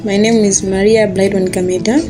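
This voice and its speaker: A woman in her twenties from Malawi, introducing herself in slow, careful, halting phrases. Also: mid-range voice, steady tone, low-quality recording. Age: 20s. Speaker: student reporter. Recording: guitar music underneath.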